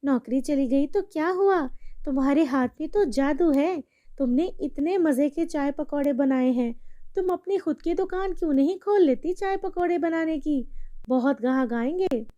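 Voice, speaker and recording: A woman playing an earnest, polite young working woman in an animated moral story. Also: high-pitched, normal speed, dull audio, natural voice. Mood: earnest, chatty. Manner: pleading, polite.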